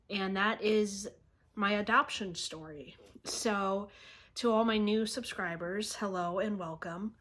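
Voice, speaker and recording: A woman vlogger telling a personal story to camera in a halting way, often pausing and clearing her throat. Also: mid-range voice, pushed and bright. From born in Russia, raised in the United States from age three.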